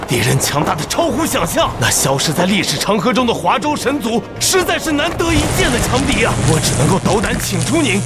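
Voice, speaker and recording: An arrogant villain who summons foreign gods in a fantasy cartoon. His voice sits high and swings wildly in pitch. He is theatrical, boastful and quick to lose his composure, over a loud music bed. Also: full character voice. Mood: reverent pleading. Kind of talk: animated fantasy motion-comic dialogue.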